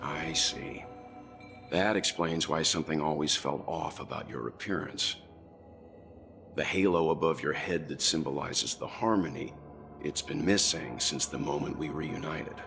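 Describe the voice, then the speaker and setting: Casting: mature, watchful gentleman, very low voice, calm formal game drama, light music behind. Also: unhurried, vocal fry, heavily acted. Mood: calm, curious. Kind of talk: dramatic video game cutscene acting.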